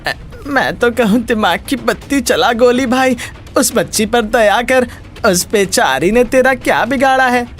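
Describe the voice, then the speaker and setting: A man voicing an anxious, folksy uncle who pleads and cautions in an animated moral story. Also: extremely high pitch, a little slow, soft background music, voice pushed up. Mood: pleading. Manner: pleading, folksy catchphrase talk.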